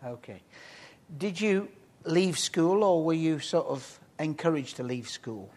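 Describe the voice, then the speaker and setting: A man interviewing a guest, asking halting questions with friendly teasing jokes. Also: a little high, expressive, darkish tone.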